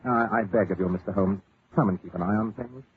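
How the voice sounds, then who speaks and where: A man's voice: lowish voice, fast talker, natural voice. A man acts out a wealthy English lord in an old radio play, flustered and indignant. He rattles along in an upper-class British accent, stammering as he goes. The sound is a tinny old broadcast with light noise. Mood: earnest, worried. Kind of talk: 1940s radio acting.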